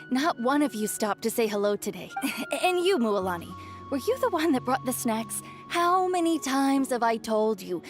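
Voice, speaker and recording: Wry, proud woman fighter, fairly high acted by a woman. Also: lightly acted. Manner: wry, familiar, chiding. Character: proud, teasing, wounded but stoic tribeswoman. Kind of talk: video game voice acting.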